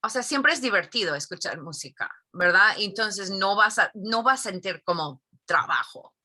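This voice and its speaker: A woman's voice: mid-range voice, animated, moderate pace, pushed and bright. A middle-aged woman, a Spanish teacher, giving thoughtful interview answers with many fillers and tag questions.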